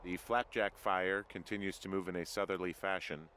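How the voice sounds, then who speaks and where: An actor playing a weary, anxious American fire lookout in a video game, hesitant and exasperated in natural dialogue. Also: male voice, sits low, steady tone, moderate pace, sharp tone, a little hiss or hum. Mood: exasperated, tired.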